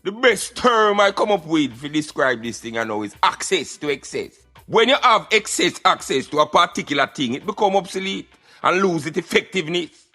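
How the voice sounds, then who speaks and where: High, bright Jamaican man. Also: sing-song, normal speed, soft background music. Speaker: radio show commentator. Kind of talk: opinionated conversational commentary.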